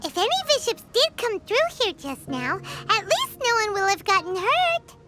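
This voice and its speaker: Tiny, squeaky, very high-voiced fairy girl. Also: sing-song, moderate pace, heavy background noise. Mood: consoling. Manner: squeaky, cute, emphatic, speaks of herself in third person. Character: tiny childlike female fairy companion, excitable, indignant, loyal. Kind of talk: video game voice acting. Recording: loud music behind the voice.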